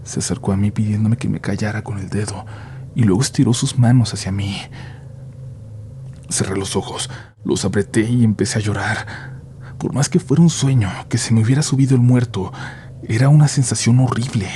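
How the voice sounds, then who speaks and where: A man narrating a first-person horror story in a hushed, measured way, sometimes dropping to a near-whisper. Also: on the low side, a little hiss or hum.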